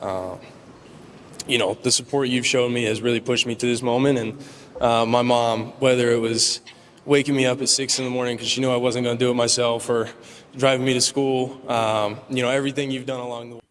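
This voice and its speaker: A young man speaks humbly and haltingly, pausing on fillers, in a flat, even tone. His voice carries a long reverb from the large awards hall around him. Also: mid-range voice, a little hiss or hum. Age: young adult. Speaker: award winner giving a speech. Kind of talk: acceptance speech.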